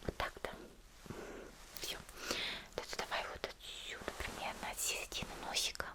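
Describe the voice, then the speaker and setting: A woman whispers through an ASMR roleplay. She addresses the listener directly and softly, with a caring, gentle manner. Her delivery is unhurried and affectionate.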